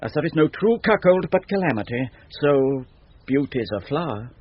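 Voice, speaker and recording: An actor playing a quick-witted, teasing court fool in 1970s radio Shakespeare, with theatrical, riddling delivery. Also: male voice, on the high side, lively intonation, husky. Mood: impudent wit. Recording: dull vintage radio recording.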